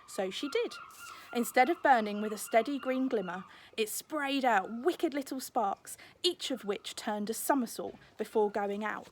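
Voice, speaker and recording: High-voiced British woman reading a storybook. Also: a little slow, slight background noise. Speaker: teacher reading a storybook to children. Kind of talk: expressive storybook narration.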